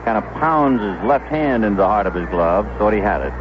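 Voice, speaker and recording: A man's voice: lowish voice, lively intonation, brisk, highs cut off, background noise. A man giving live play-by-play baseball commentary as a radio announcer on a 1970s broadcast.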